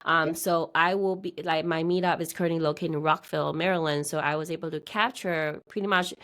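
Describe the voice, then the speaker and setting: Woman, soft voice, halting with fillers, slightly low pitch. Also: fairly even intonation. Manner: halting, with many fillers and restarts. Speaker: interview guest, meetup organizer. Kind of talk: casual interview conversation.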